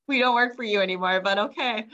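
Mid-thirties woman co-host, bright and crisp, easygoing and chatty. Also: a little high, lo-fi sound. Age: 30s. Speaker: podcast co-host. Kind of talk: casual chat.

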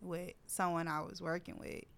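Young woman podcast co-host: soft, smooth, a touch of vocal fry. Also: fairly low pitch, normal speed. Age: young adult. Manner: relaxed, frequent laughs and fillers. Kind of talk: casual podcast chat.

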